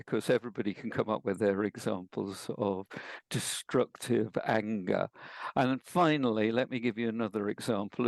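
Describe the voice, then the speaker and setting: An older man with an English accent, a coach explaining ideas in a measured way on a podcast interview. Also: on the low side, gravelly, dry recording. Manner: measured, explanatory, builds points with examples. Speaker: guest, emotional intelligence coach.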